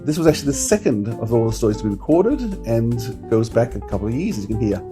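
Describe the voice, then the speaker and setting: A man's voice: medium pitch, lively intonation, fast talker. A man co-hosting a podcast in an informal, enthusiastic way, chatting and reading out notes. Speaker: podcast co-host. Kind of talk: casual podcast chat, reading credits. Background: acoustic guitar music.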